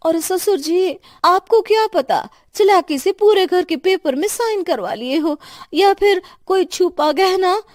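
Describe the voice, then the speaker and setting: A woman's voice: very high voice, lively intonation, measured pace, hardly any pauses, darkish tone, no echo, clean studio sound. A woman voicing a sly, suspicious, greedy daughter-in-law in a Hindi cartoon story. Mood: accusing.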